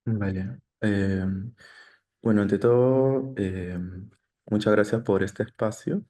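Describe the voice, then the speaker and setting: A man's voice: on the low side, dark tone. A man from the Amazon, presenting his art practice on a video call in a thoughtful, hesitant way. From Peru, Amazon region. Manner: thoughtful, hesitant, many pauses and tag questions. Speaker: guest artist. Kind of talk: panel presentation.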